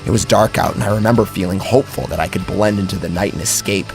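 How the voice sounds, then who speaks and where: Deep, gravelly male horror narrator. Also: quick pace, music in the background. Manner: theatrical, suspenseful delivery. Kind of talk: dramatic first-person horror story narration.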